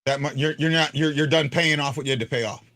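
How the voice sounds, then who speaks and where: A man with a deep voice hosting a casual fan podcast, rambling with stumbling restarts. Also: steady tone, fast, bright, forceful tone. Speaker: podcast host.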